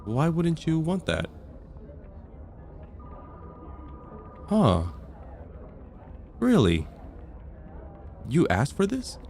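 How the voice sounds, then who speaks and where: A man playing a composed, matter-of-fact vampire counselor in an ASMR roleplay, speaking in a measured, clinical way. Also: mid-range voice, dark tone, a little hiss or hum. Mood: puzzled, skeptical.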